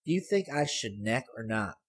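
A man putting on a husky, brazen teenage girl. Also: medium pitch, sing-song, normal speed. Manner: blunt, artless, cocky. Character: brash, teasing Southern teenage girl. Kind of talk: audiobook character voice.

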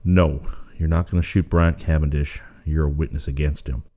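A man reading a storybook aloud and voicing a calm, commanding masked hero, louder and firmer than his narration. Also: a bass voice, moderate pace.

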